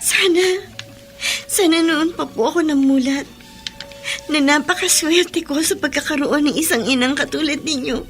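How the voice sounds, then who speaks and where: A woman's voice: sits high, normal speed. An actress playing a remorseful, tearful young daughter who has just become a mother, in a radio drama. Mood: tearful regret. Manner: tearful, respectful, pleading. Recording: music under the voice.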